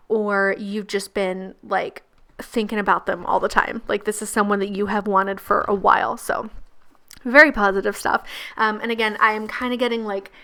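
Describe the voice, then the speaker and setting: A woman with a croaky, gravelly voice giving a casual pick-a-card tarot reading, chatty and laughing often. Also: mid-range voice, fairly bright tone. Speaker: tarot reader and channel host.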